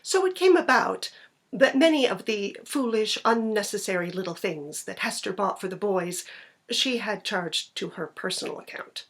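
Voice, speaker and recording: A woman narrates a classic short story in a middle-pitched voice. There is a light huskiness to her tone as she reads the scripted prose aloud. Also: lively intonation, normal speed.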